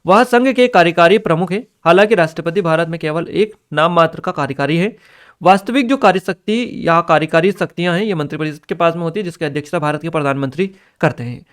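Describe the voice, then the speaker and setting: A man with a somewhat high-pitched voice teaches for an exam. He reads each question out from his notes, then explains the answer in an instructional manner, now and then checking that listeners follow. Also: quick pace, smooth. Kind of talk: exam paper analysis lesson, reading out questions and explaining answers.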